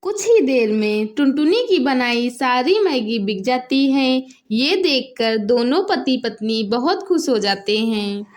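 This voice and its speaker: Children's cartoon narrator: woman, high voice, scripted storytelling delivery. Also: sing-song, a little slow, hardly any pauses, faint music behind.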